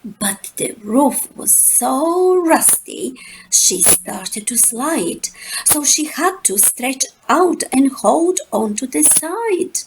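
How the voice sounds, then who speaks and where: A woman narrates a rhyming children's picture book in a lilting, very animated voice. She takes her time, stretching words and leaving dramatic pauses. A faint noise sits behind the reading. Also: sits high, poor audio. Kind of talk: expressive rhyming storybook narration.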